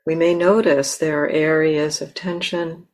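Slow, low-voiced woman guiding meditation. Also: flat delivery. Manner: gentle, unhurried, pausing mid-phrase. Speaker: meditation teacher. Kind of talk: guided meditation, slow with long pauses. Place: meditation center.

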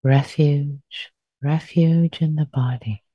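A woman teacher leading a guided meditation, speaking gently and softly, lingering on and repeating phrases. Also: deep voice, steady tone, husky, no echo, dull audio. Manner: gentle, soft-spoken, unhurried with repeated phrases.

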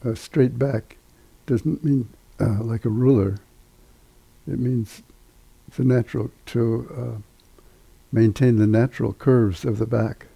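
Very gravelly, slow older man. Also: mid-range voice, steady tone, warm tone, no echo. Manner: unhurried, halting, with long pauses. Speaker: meditation teacher. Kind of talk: guided meditation instruction.

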